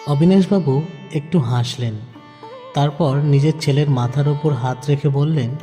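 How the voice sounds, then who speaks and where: A man's voice: fairly high pitch, a little slow, mellow. A man narrating a scripted audio drama in a dramatic storytelling style. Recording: long reverb under clear background music.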